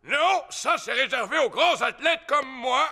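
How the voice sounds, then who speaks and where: A man voicing a boastful, sporty old grandpa rabbit in a cartoon, with a booming, theatrical delivery. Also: expressive, measured pace, very noisy, heavily acted. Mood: boastful, dramatic. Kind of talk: French cartoon dub acting.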